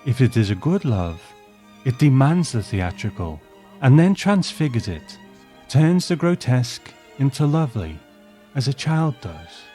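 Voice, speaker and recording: A man voicing a gracious, refined old married woman with measured, reflective phrasing, in a storybook reading. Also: medium pitch, big pitch swings, warm tone, faint music behind, pitched above the performer's own voice. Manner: measured, ornate, philosophical phrasing.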